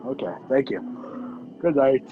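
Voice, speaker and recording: A young man acting a wisecracking, sarcastic fugitive in an amateur radio play. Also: mid-range voice. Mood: weary. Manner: dry sarcasm. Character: wisecracking fugitive man, sardonic, handcuffed to a woman. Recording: dull lo-fi video call audio. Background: music.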